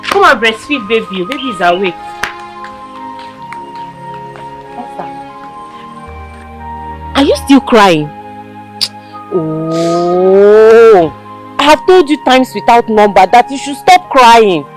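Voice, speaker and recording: A caring older sister who soothes in a soft, mid-pitched voice. Faint music plays under her. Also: expressive, fast talker, hardly any pauses. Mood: coaxing.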